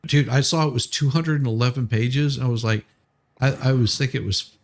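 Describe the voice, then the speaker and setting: A man with a mid-range, gravelly voice full of fry chats casually as a live streamer. He rambles in a jokey way and doubles back on his words. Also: mid-range voice, a little fast. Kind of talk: casual livestream chat.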